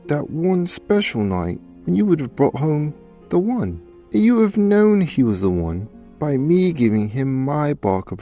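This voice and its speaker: An affectionate companion dog, acted by a man for a radio play, speaks in a gravelly, lively voice. The delivery is effusive and sentimental, with theatrical swoops over faint music. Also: mid-range voice. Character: devoted pet dog, affectionate, dramatic, sentimental male companion.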